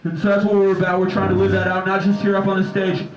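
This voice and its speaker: Earnest high-voiced man preaching from stage. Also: completely flat delivery, fast. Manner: earnest, exhorting. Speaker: band member speaking from stage. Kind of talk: preaching to a live crowd. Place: music venue stage. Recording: echoey venue, dull sound, faint music.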